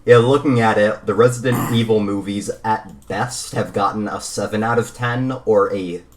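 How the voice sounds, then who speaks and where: A man's voice: on the low side, fairly even intonation, moderate pace. A man co-hosting a podcast, talking casually in an analytical, matter-of-fact manner. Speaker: podcast co-host. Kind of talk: casual chat.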